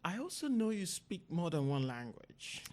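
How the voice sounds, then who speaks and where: A woman podcast host chatting casually with a guest, asking questions in an informal, halting way. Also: lowish voice, sing-song, a little mellow. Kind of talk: casual interview chat.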